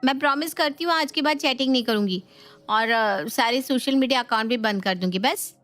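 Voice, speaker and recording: A woman's voice: a little high, a little fast, fairly bright tone, close to an everyday voice. A woman voicing a breezy, evasive young wife in a family storybook, with a glib, pleading way of talking. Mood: pleading, placating. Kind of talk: dramatised Hindi family storybook. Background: music.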